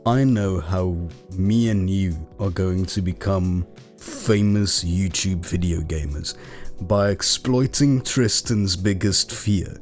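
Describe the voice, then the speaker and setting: A man's voice: low-pitched, slow pace, a little mellow, faint music behind. A man co-hosting a casual podcast, teasing and playful with his co-host. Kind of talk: casual chat.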